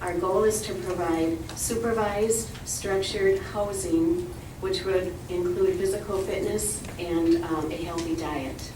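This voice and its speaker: A soft-spoken woman presenting a program from prepared notes at a meeting. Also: on the low side, fairly even intonation, slow. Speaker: ministry representative. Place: meeting room. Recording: roomy, distant, noisy room recording.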